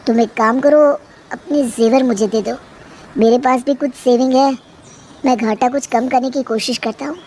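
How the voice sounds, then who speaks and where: A woman's voice: fairly high pitch, fairly even intonation, moderate pace, a little mellow, slight background noise, close to an everyday voice. A woman voicing a stern, exasperated young husband in a moral story, with a reproachful way of questioning. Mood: earnest. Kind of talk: Hindi moral-story drama.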